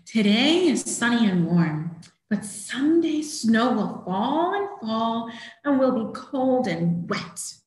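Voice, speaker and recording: A woman's voice: on the high side, sing-song, slow, darkish tone. A woman voicing a worried little kitten who fears snow, in an anxious, emphatic tone. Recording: roomy echo.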